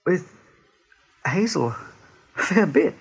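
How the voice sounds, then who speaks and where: A gentle rabbit of the warren in a radio drama. The voice is extremely breathy and husky, slow, halting and wistful as it reflects. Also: medium pitch, big pitch swings, full character voice.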